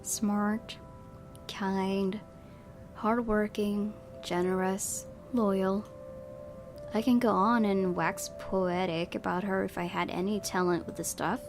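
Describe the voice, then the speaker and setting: A woman gaming host reading visual novel narration aloud in character, expressive and wistful. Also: medium pitch, takes it slowly. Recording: music behind the voice.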